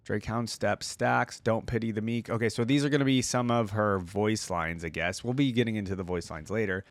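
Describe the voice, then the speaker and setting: Low-voiced man, dry close sound. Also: no room sound. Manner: conversational asides while reading aloud. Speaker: reaction video host. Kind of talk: casual reaction commentary mixed with reading wiki text aloud.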